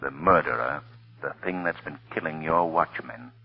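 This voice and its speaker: A very deep, mysterious unseen crime fighter. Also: male voice, normal speed, creaky, slightly rough, full character voice. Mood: commanding, urgent. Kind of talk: 1930s radio drama acting. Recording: muffled old radio recording.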